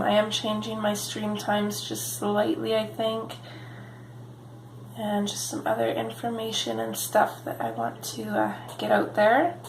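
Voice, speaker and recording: A woman's voice: medium pitch, creaky, reverberant, slight background noise, poor audio. Sniffly woman chatting while coloring. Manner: relaxed rambling with frequent ums. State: sniffly with a cold. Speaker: coloring channel host. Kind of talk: casual chatty coloring tutorial.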